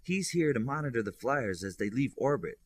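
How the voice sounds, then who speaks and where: A man's voice: medium pitch, animated, normal speed, close to an everyday voice. A man voicing a young telepathic superhero girl who explains and reports things to her friends in an audiobook. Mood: puzzled, informative. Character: telepathic superhero girl, informative, attentive student.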